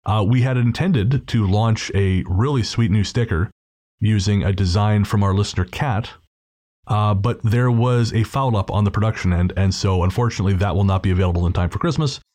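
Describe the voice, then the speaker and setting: Low-voiced man hosting a podcast. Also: brisk, a little mellow. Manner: informal, chatty. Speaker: podcast host. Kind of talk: casual podcast outro with thanks and plugs.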